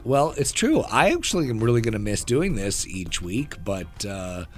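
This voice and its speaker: A man co-hosting a podcast speaks casually, circling through his thoughts with many small fillers. His voice is on the low side. Faint music sits underneath. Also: very animated, normal speed. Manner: reflective, rambling with many "uh" and "you know". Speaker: podcast co-host.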